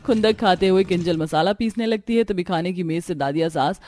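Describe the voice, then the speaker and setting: A woman's voice: on the low side, a little fast, warm tone, slight background noise. A woman reading scripted narration for a cartoon family story. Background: music.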